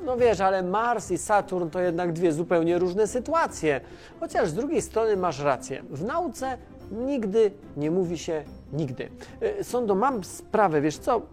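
A man's voice: high voice, sing-song, unhurried, soft background music, heavily acted. A man playing a caring, easygoing mission controller who chats informally in a children's educational audio drama. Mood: casual. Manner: conversational, hesitant, informal. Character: Tomasz, caring, chatty, easygoing male mission controller on Earth.

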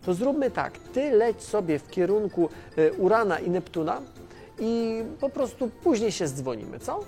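Chatty, caring mission controller. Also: male voice, high voice, big pitch swings, moderate pace, gravelly, warm tone, faint music behind, exaggerated voice. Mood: casual. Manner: conversational, hesitant, informal. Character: Tomasz, caring, chatty, easygoing male mission controller on Earth. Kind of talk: children's educational audio drama, casual dialogue.

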